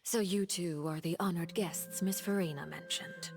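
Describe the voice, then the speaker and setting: A menacing lady commander from a video game. Her voice sits low and she keeps a polite, measured poise that hides a threat. Music plays clearly behind her. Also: steady tone, dry recording. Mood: courteous, composed. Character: poised, menacing woman, head of a villainous order.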